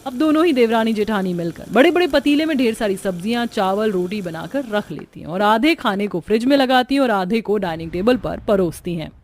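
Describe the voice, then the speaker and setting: A woman's voice: mid-range voice, lively intonation, brisk, hardly any pauses, slight background noise. A woman giving scripted narration for a cartoon family story.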